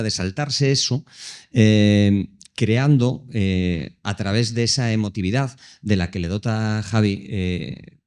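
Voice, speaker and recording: A man with a Castilian accent from Spain speaking conversationally on a panel, explaining through rhetorical questions. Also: mid-range voice. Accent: Spanish (Spain). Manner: animated storytelling with frequent rhetorical questions.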